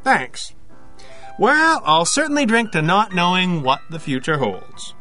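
A man acts out a lonely, self-doubting perfectionist in an audio play. His voice sits high and has a sharp, bright edge. He speaks hesitantly and earnestly over faint music. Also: big pitch swings, measured pace, exaggerated voice. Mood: wry. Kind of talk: audio drama dialogue.

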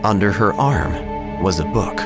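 A man with a lowish voice narrates a first-person horror tale in a deadpan, dryly absurd way, and his pitch rises and dips expressively. Music plays underneath him. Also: unhurried.

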